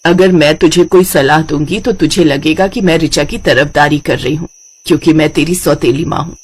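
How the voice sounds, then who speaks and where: A woman voicing a gentle, admonishing mother who appears in a dream in a children's cartoon story. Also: low voice, steady tone, normal speed, slightly rough, voice pushed down. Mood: advising.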